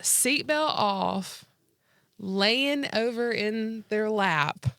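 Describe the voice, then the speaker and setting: A woman's voice: mid-range voice, drawn-out words, creaky, a bit bright, no echo, studio quality. A woman in her thirties co-hosting a podcast in a casual comedic chat, speaking candidly with lots of slang and frequent filler "like". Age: 30s. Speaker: podcast co-host.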